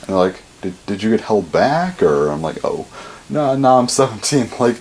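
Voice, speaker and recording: A seventeen-year-old guy with a fairly low voice talks at length in a loose, rambling way, leaning on fillers and swearing freely. His pitch leaps upward whenever he emphasizes something. A faint hum sits under the recording. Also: sing-song, normal speed, darkish tone. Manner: pitch jumps up often when stressing a word. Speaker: vlogger. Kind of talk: casual rambling monologue.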